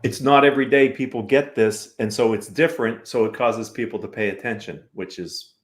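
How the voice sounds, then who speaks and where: A man's voice: lowish voice, a little fast. A man with an American accent hosting a conversational business interview, speaking in an affirming, complimentary way to his guests. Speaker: talk show host.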